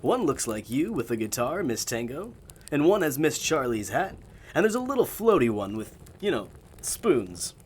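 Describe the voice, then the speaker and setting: An actor playing a polite, earnest gentleman magician in an audio drama, speaking courteously and a little formally. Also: mid-range voice, sing-song, normal speed, slightly rough. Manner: courteous, slightly formal phrasing.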